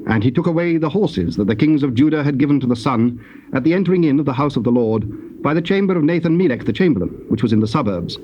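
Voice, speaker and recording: A man narrating scripture from a script in a measured, formal manner. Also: medium pitch, expressive, dark tone. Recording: dull cassette transfer with faint noise.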